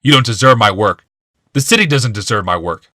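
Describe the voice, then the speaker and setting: A man voicing a bitter, paranoid, sickly inventor in an audiobook, with shouting, hissing, accusing outbursts. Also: lowish voice, lively intonation, brisk, no room sound, clean studio sound.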